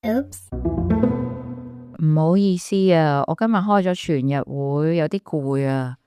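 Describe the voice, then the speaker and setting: An actress with a Hong Kong accent playing a friendly, polite young woman in calm, casual radio drama dialogue sprinkled with English words. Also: deep voice, unhurried, smooth, dry recording, faint music behind. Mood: tired, polite.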